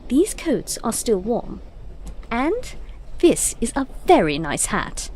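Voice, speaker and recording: Woman playing cheeky eccentric adventurer lady, really high lively voice, breezy radio play style, bit of train noise. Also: slow. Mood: curious, breezy. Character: playful, cheeky, self-assured eccentric female time-traveller. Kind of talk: audio drama acting.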